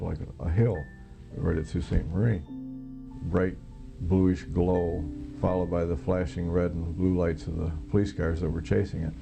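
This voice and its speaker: A low-voiced, mature American man tells his own story in an interview setting. His delivery is heavily creaky and very even, with plainspoken, halting phrasing. Guitar music plays clearly behind him. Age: middle-aged or older. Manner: halting, plainspoken, matter-of-fact. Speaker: interviewee, former Air Force air traffic controller. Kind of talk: recounting a personal experience in an interview. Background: plucked guitar music.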